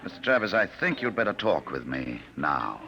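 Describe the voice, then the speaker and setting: An actor in a 1950 radio drama playing a cold, patient, menacing male agent from the future who talks smoothly and quietly threatens. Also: a bass voice, sing-song, moderate pace, crowd noise, full character voice. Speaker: Sims, time-travel enforcer.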